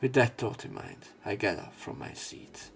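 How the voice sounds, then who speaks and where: A man reading a visual novel aloud as a let's player, in an expressive, dramatic narration style. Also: fairly low pitch, normal speed, creaky, faint music behind. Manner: expressive, with emotional swells.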